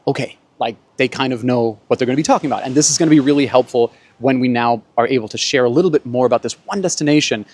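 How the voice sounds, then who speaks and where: A man's voice: medium pitch, fast talker, hardly any pauses, a little mellow. A man from Germany and Bolivia hosting a panel, talking in a casual, explanatory way. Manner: conversational, explanatory. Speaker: host, panel moderator.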